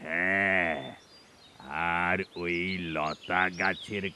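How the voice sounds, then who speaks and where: An actor playing an earnest old male bird in a children's cartoon, speaking haltingly and insistently, repeating himself. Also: low-pitched, steady tone, bright, forceful tone, slight background noise, heavily acted. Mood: grave, warning. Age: older. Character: earnest old male bird, slow-spoken elder of the flock. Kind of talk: children's animated fable acting.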